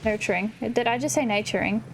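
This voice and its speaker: A woman hosting a gaming video, chatting casually and excitably as she plays and often exclaiming. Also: fairly high pitch, brisk, a little hiss or hum, rough recording. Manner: excitable, exclamatory, chuckles at herself. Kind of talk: casual let's-play chat, reading game dialogue aloud.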